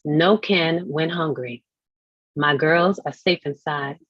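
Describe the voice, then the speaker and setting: A woman recites poetry in a low voice, taking her time over the phrases. The recording is close, dry and slightly muffled. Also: takes it slowly, no room sound. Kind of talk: dramatic poetry reading. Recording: dull lo-fi dry audio.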